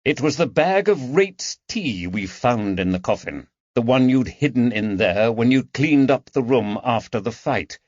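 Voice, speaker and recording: A man's voice: medium pitch, animated, unhurried, few pauses, darkish tone, no echo, highs cut off, voice pushed up. A man voicing a shrewd, coldly mocking detective in an audiobook, with a dry, taunting manner. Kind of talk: audiobook character dialogue.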